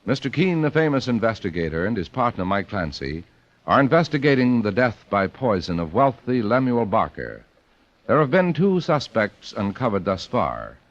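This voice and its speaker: A male American announcer delivers scripted copy in vintage 1940s radio fashion. He is polished and emphatic, with lively intonation. The recording sounds dull and aged. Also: on the low side, moderate pace. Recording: muffled old radio recording.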